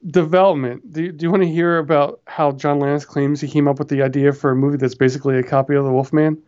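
A man's voice: fairly high pitch, fairly even intonation, quick pace, a little mellow, muffled recording. A man in his forties co-hosting a podcast, chatting casually and rambling through memories. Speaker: podcast co-host. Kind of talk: casual movie chat.

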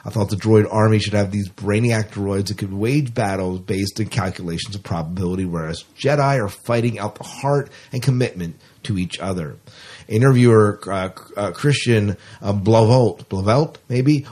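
A man's voice: fairly low pitch, a little mellow, breathy edge. A middle-aged man hosting a podcast, chatting informally and reading out entertainment news with a fan's enthusiasm. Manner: informal, enthusiastic fan talk. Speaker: podcast host.

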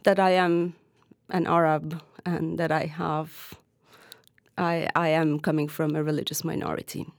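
A woman's voice: sits low, little pitch movement, unhurried, dry recording, studio quality. A woman journalist from Turkey, bilingual with Arabic as her first language, answering interview questions thoughtfully, often restarting phrases and laughing lightly. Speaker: journalist guest. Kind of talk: podcast interview.

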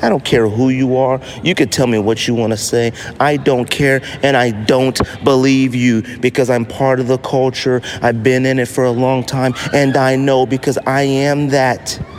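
A man hosting a podcast, giving a casual, opinionated monologue in an animated, slangy way. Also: medium pitch, moderate pace, hardly any pauses, slight background noise. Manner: animated, slangy, acts out imagined quotes. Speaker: podcast host.

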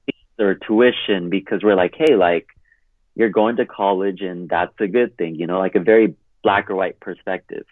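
A man's voice: low voice, normal speed, no room sound. A man turning thirty, from California, talking as a podcast guest in a rambling way full of "like". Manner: rambling, heavy use of "like". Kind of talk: casual interview chat. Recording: tinny phone line.

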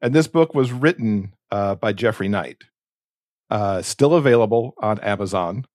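Low-pitched man, close dry sound. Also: lively intonation, normal speed, a little mellow, no echo. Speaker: podcast co-host. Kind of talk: conversational podcast discussion.

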